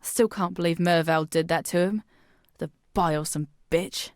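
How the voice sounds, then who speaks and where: A woman's voice: on the low side, steady tone, normal speed, darkish tone, no echo, clean studio sound, natural voice. An actress playing a brash, bawdy young female pirate in a video game, talking in swaggering, salty old-time sailor slang. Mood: bawdy, defiant. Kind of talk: video game character dialogue.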